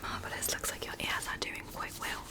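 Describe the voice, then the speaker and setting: A woman whispering softly and breathily in a gentle, reassuring ASMR roleplay as a doctor giving an exam. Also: a little fast. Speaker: ASMR performer playing an ear doctor.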